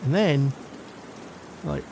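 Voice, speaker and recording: A man's voice: fairly high pitch, extremely slow, gravelly, background noise, exaggerated voice. A man playing a grudgingly caring, sarcastic young Glaswegian in an exasperated, teasing ASMR roleplay monologue. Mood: mocking, sarcastic. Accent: Glaswegian Scottish.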